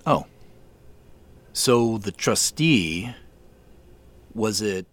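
A man's voice: lowish voice, expressive, very slow, no room sound. An actor playing a respectful but probing man who is used to being in charge, in a naturalistic audio drama. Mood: curious.

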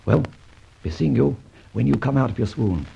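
An actor playing a suave, flippant gentleman adventurer, bantering and clipped, in an old radio drama. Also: mid-range voice, animated, normal speed, gravelly, slight background noise. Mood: wry. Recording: muffled old radio transcription.

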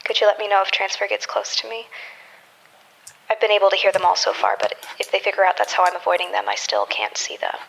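An actress playing a guarded, sardonic operative in an audio drama, speaking curtly. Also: female voice, mid-range voice, fast talker, creaky, fairly bright tone, low-quality recording. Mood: guarded, irritated. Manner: curt, sardonic. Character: guarded, sardonic woman operative, wary and curt. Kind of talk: naturalistic audio drama dialogue.

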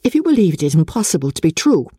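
A woman voicing a proud, composed young gentlewoman in a storybook reading, politely firm and coolly defiant. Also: fairly low pitch, big pitch swings, breathy edge, no room sound, clean studio sound, pitched above the performer's own voice. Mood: cool disdain. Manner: cool, measured, politely firm.

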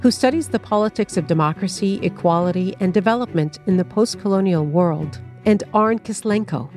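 A woman's voice: mid-range voice, a little fast, a little mellow, faint music behind. A woman reading a scripted introduction for a radio show in a formal announcing tone.

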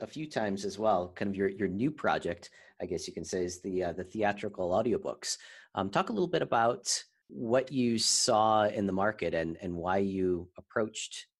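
A man's voice: fairly low pitch, moderate pace. A man hosting a podcast, casually putting questions to his guests. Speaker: podcast host, interviewer.

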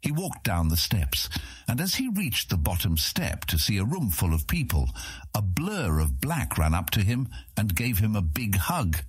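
A man's voice: on the low side, very animated, normal speed, husky, dry recording. A man narrating a scripted fantasy audiobook in a dramatic storytelling style.

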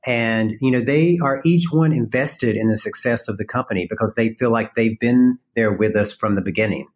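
A man's voice: medium pitch, moderate pace. A man from North Carolina with a Southern accent, talking earnestly as an interview guest. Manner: earnest, thoughtful, many fillers. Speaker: guest, farm business owner. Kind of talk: conversational interview.

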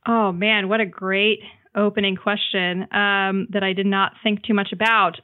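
A slightly tinny, band-limited remote recording of a woman in her thirties. She is a chatty podcast guest with a medium-pitched voice, telling personal stories emphatically and with self-mocking humor. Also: flat delivery, normal speed. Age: 30s. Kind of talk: casual chat, personal anecdotes.

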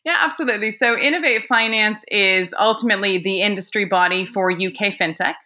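Bright-voiced American woman guest. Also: medium pitch, a little fast. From United States. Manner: articulate, fluent with fillers. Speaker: guest, executive. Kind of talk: podcast interview.

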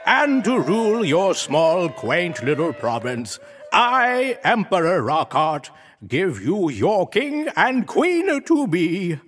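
A pompous conquering emperor in a comic fantasy audio drama. He declaims grandly at a slow pace, his high voice rising and falling dramatically over music. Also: sing-song, fairly bright tone, heavily acted. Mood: grand, proclaiming. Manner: pompous, declamatory speech-making. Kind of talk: audio comedy drama acting.

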